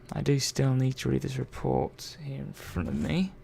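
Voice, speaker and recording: A man plays a caring robot technician in a sci-fi ASMR roleplay. His voice is mid-pitched with a lot of fry. He rambles hesitantly and reassuringly, close to one listener, over a faint bed of ambient noise. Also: little pitch movement, measured pace, darkish tone. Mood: reassuring, fond.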